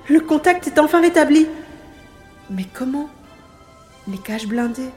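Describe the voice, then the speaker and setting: A man's voice: high-pitched, a little mellow. A man performs a worried but determined adventurer for an audio drama. He narrates the action expressively and grumbles under strain. The sound is echoey, with faint music behind him. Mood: tense, hopeful. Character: Karl, anxious adventurer man tracking captive friend, grumbling, determined.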